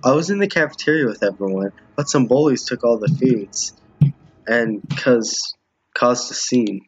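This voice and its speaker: A man with a husky, mid-pitched voice gives casual commentary on a game he is streaming. He reads text aloud, stumbling and doubling back at times. The recording is dull and a bit roomy. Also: animated, unhurried. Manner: stumbles while reading, repeats lines. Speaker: streamer playing a visual novel with viewers. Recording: muffled, roomy home recording.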